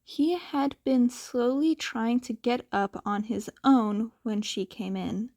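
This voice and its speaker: A woman's voice: on the high side, steady tone, takes it slowly, darkish tone, no echo, clean studio sound. A woman narrating fan fiction as a scripted story reading.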